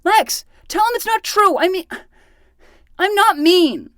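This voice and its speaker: Bossy boy detective, twelve: petulant, argumentative, very animated pitch, dry close sound. Fiction podcast, played by an adult actor. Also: takes it slowly, no echo, heavily acted. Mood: indignant. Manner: petulant, self-important, argumentative. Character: stubborn, bossy, indignant 12-year-old boy playing detective. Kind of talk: scripted fiction podcast acting.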